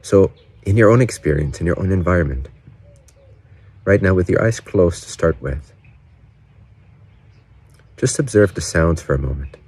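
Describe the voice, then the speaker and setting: A man leading a guided meditation in gentle, unhurried short phrases with pauses between them. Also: low voice, expressive, husky, no room sound. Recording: birdsong and faint outdoor ambience behind. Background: doves, frogs, birds and distant cars.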